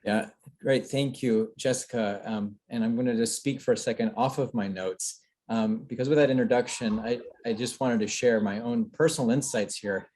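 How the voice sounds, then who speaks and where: A man giving an earnest, semi-formal welcome as event co-chair, haltingly, often repeating his words. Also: mid-range voice, a little mellow. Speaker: committee co-chair introducing event. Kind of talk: semi-formal welcome speech, partly off notes.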